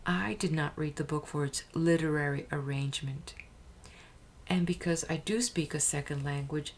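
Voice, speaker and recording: A woman hosting her own channel, talking casually and thoughtfully, with hesitations, restarts and soft near-whispered phrases. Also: low voice, slight background noise. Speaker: audiobook channel host. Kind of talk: casual spoken introduction.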